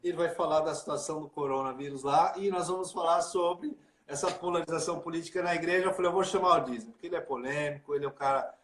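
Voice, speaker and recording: A man's voice: a little high, steady tone, fast talker, few pauses, fairly bright tone. A man, a pastor hosting a casual livestream chat over a video call, informal and talkative. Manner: chatty, informal, full of fillers like né and cara. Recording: echoey room.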